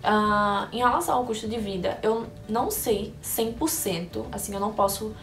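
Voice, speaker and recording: A woman's voice: medium pitch, moderate pace, a bit bright. A young woman from Brazil chatting casually to camera, answering viewers' questions and thinking aloud with frequent restarts. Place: indoor room in Orlando under bright lights. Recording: echoey room, voice a bit far from the mic, faint music behind.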